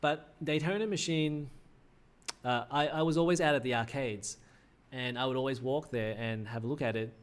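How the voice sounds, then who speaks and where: Male streamer, 30s, mid-pitched, chatty and rambling, voice slightly worn. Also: normal speed, clear, resonant tone. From Australia. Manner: rambling, self-correcting, frequent "uh" fillers. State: slightly losing his voice. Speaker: livestream host. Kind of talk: casual livestream commentary.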